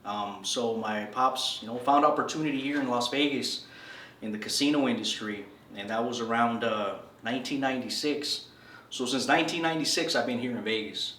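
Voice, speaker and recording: A man's voice: medium pitch, fairly quick, pushed and bright, slight background noise. A man in his thirties from El Salvador, telling his life story in a casual, slangy interview. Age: 30s. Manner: laid-back slangy storytelling, says "bro" a lot. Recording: echoey room from a distance.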